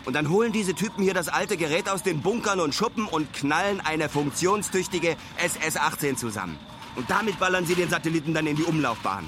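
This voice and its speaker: Actor playing a brash high-voiced young male traveller. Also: animated, a little fast, noisy background, lightly acted. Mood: excited, fascinated. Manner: slangy, clipped spoken-diary phrasing. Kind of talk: radio drama monologue.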